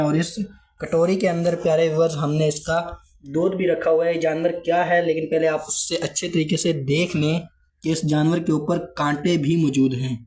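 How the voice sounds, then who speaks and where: A man's voice: a little high, darkish tone, poor audio. A man from Pakistan, vlogging casually to his viewers with a sore throat from a cold. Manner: chatty, addresses viewers often. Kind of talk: casual vlog narration to viewers. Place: hot store room in a village house.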